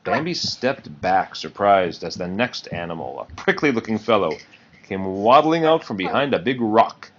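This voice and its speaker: Male storybook reader, low register, expressive melody, slightly dull home recording with light background noise. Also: normal speed. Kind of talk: children's read-aloud narration.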